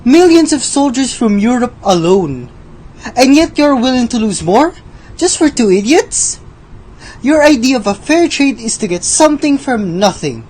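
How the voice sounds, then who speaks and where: A woman plays Death as a haughty, teasing gambler in a radio drama. The voice sits fairly high and talks down to others with sly, theatrical scorn. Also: sing-song, moderate pace, a little mellow, low-quality recording. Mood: haughty, mocking. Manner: theatrical, condescending.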